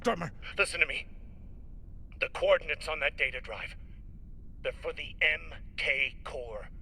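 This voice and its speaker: An actor playing a desperate, self-serving, profane spaceship captain in a dramatic sci-fi game. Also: male voice, fairly high pitch, unhurried, pushed and bright, a little hiss or hum, full character voice. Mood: urgent bargaining. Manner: gruff, profane, pleading. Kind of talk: dramatic video game acting.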